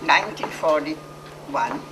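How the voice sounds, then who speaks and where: A woman's voice: low voice, distant mic, very noisy. An older woman from Poland with a Polish accent, giving an earnest personal testimony to an audience and often correcting herself mid-sentence. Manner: earnest, halting, with restarts and self-corrections. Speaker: Holocaust survivor speaker.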